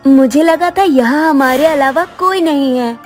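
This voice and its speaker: A man voicing an excited, playful little boy. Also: very high voice, unhurried, pitched above the performer's own voice. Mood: surprised. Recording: faint music behind.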